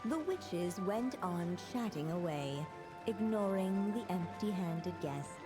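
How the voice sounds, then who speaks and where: A woman playing a calm storybook narrator in a video game, telling a fairy tale in a measured, deliberate way. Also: lowish voice. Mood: measured, storytelling. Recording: long reverb, music underneath.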